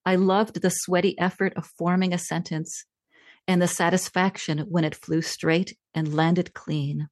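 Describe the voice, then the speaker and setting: A woman author who grew up in Czechoslovakia, reading from her book and then answering interview questions in a thoughtful, measured way. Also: fairly low pitch, level tone, dry recording, studio quality. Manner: thoughtful, measured, with frequent fillers. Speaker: author and language scientist.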